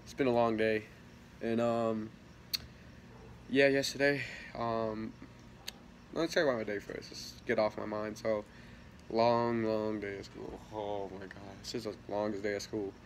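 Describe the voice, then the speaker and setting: A man's voice: on the low side, little pitch movement, measured pace, slight background noise. Rambling teenage guy telling a story. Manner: rambling, many fillers and restarts, soft laughs. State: a little sick. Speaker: vlogger. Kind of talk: casual storytelling vlog.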